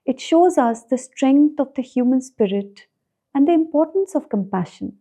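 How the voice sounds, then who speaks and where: A woman's voice: sits high, expressive, normal speed, warm tone. A woman hosting an interview podcast, reading a polished, upbeat introduction and asking questions. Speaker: podcast host.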